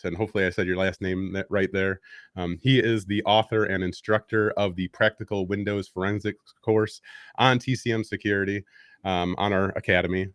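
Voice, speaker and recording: A man's voice: on the low side, no room sound. A man hosting a live stream interview in an informal, conversational way, speaking close to the microphone. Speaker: show host and interviewer.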